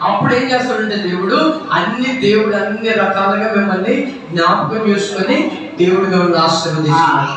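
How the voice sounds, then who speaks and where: A man's voice: sits high, a little fast, a crowd in the background. A man hosting a puppet show for children at a church event, coaxing them with questions and repeated phrases. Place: church hall. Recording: echoey hall, distant mic, noisy.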